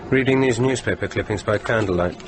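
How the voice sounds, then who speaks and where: A low-pitched British man played by an actor, stranded and morbidly curious, in a radio play. He needles his companion with a dry edge, over clearly noisy ambience. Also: fairly quick, close to an everyday voice. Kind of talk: radio drama acting.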